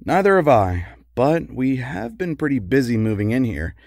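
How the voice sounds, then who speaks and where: An actor playing a worried, long-suffering husband of a secret superheroine in an audio comedy drama. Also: male voice, mid-range voice, very animated, moderate pace, vocal fry, a little mellow, no room sound, clean studio sound. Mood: wry, worried.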